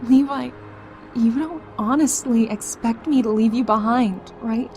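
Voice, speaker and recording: A woman's voice: a little high, a little slow, darkish tone. A woman playing a fierce, stubborn yet tender young survivor in an emotional audio drama, speaking with earnest, emphatic pleading. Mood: earnest reassurance. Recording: dramatic music behind the voice.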